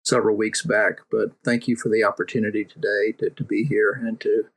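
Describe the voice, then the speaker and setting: A man's voice: on the low side, little pitch movement, fairly quick, slightly rough. A male interview guest speaking earnestly, often restarting and repeating words as he recounts his work. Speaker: podcast guest, missions filmmaker. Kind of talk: conversational interview.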